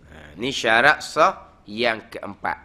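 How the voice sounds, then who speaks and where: Lively religious teacher. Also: male voice, lowish voice, takes it slowly. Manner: lively and conversational, with chuckles and frequent "ha" fillers. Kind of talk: weekly mosque study lecture. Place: prayer hall lecture.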